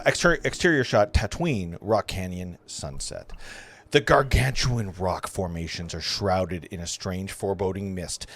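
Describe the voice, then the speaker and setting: A man reading stage directions as narrator at an amateur table read of a film script. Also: on the low side. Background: music.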